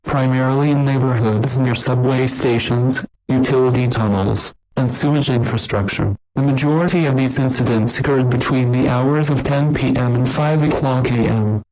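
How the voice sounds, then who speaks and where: A man delivers a scripted emergency broadcast as an announcer, formal, measured and impersonal. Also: medium pitch, fairly even intonation, a little mellow, poor audio.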